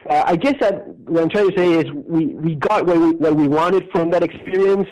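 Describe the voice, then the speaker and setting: Man, halting and thoughtful, radio. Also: a little high, highs cut off. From Argentina. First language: Spanish. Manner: thoughtful, halting, many restarts and soft laughs. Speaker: guest. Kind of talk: casual interview conversation.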